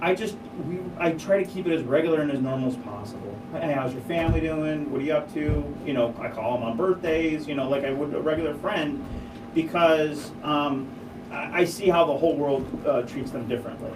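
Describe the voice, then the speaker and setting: A man co-hosting a comedy radio show in a small studio, talking in an animated, friendly way with many fillers. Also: on the high side, a little fast, distant mic. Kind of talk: casual comedic chat. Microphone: studio boom mic with headphones. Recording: echoey studio, off-mic with hiss.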